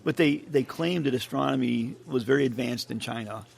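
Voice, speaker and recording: A man's voice: medium pitch, a little fast, creaky, husky. A man hosting a casual chat, reading history notes with many hesitations and ums. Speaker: podcast host.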